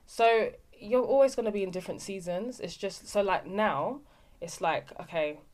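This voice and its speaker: A young adult actress in a casual interview, telling stories with lots of restarts and trailing phrases. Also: female voice, medium pitch, normal speed. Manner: animated storyteller, trailing restarts. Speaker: actress guest.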